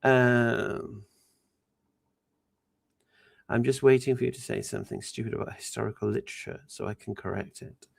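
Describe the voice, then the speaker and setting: A man's voice: medium pitch, moderate pace, gravelly, long pauses, no room sound, clean studio sound. A man hosting a casual live stream, reading out viewer questions and answering them bluntly. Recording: steady background noise.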